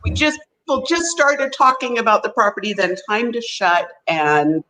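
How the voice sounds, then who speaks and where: A woman's voice: on the high side, big pitch swings, normal speed, low-quality recording. A woman in her forties from Canada with a Canadian accent, a guest on a video-call interview who tells her story at length with many fillers. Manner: rambling, warm storytelling with fillers. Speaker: guest, hotel sales representative. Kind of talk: casual interview chat.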